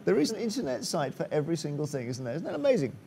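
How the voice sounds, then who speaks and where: A man's voice: fairly high pitch, very animated, very fast, gravelly, dark tone, slight background noise. A British man hosting a TV quiz, moving between scripted links and banter with contestants. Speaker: quiz show host.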